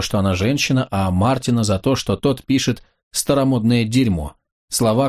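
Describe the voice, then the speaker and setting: A man narrating a novel as an audiobook in a steady, measured, wry reading. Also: lowish voice.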